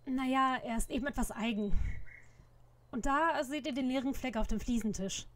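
A fairly high-voiced teenage girl sleuth played by a woman, earnest and caring. She speaks sympathetically, in the style of a parody detective radio play. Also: moderate pace, close to an everyday voice. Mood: conversational. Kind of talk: parody detective audio drama.

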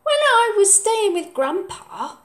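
A woman's voice: very high-pitched, sing-song, slow pace, voice pushed up, exaggerated voice. A woman voicing a stubborn, sulky young boy in a storybook, drawing out words emphatically. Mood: wistful, proud. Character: stubborn young boy proud of his grandpa.

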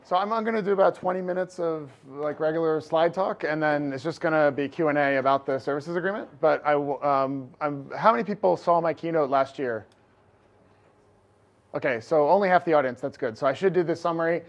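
A middle-aged man speaks energetically to a big lecture hall, pushing his loud voice out to the room. His pitch sits a little high. The recording is dull and lo-fi. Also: fairly quick. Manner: energetic, loud, projecting without amplification. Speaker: conference speaker. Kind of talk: conference talk with slides. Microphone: room recording mic, no lapel.

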